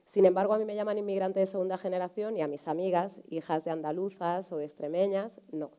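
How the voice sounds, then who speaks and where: A woman's voice: mid-range voice, steady tone, fast talker, dark tone, smooth. A woman in her thirties with a Madrid Spanish accent, explaining things conversationally on a panel, often checking in with tag questions. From Spain, Madrid area. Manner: explanatory, frequent rhetorical tags seeking agreement. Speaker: journalist, panel speaker. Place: indoor auditorium stage, seated on a panel.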